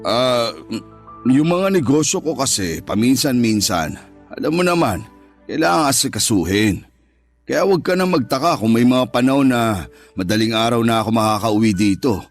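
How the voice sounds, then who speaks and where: A male actor plays a wealthy Filipino businessman in a radio drama, a jealous and possessive man with a medium-pitched voice. He speaks in a controlling way that can promise care or threaten. Music plays faintly behind him. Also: lively intonation, normal speed, lightly acted. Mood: reassuring. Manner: controlling, alternately reassuring and threatening. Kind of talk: Tagalog radio drama acting.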